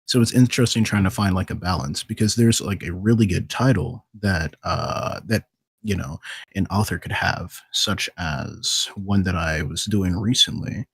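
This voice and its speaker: A man with a gravelly voice talking casually as a podcast guest, thinking aloud in an informal way. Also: low voice, normal speed, no echo. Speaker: podcast guest, voice actor and video maker. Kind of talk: casual panel talk.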